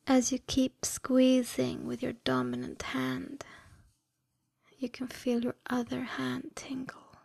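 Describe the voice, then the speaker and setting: A woman speaks in a breathy, hushed whisper with a creaky edge. Her delivery is extremely slow and deliberate, soothing but commanding, as in a scripted hypnosis ASMR track. The sound is dry and intimate. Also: sits high, monotone, no room sound. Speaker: erotic hypnosis audio performer.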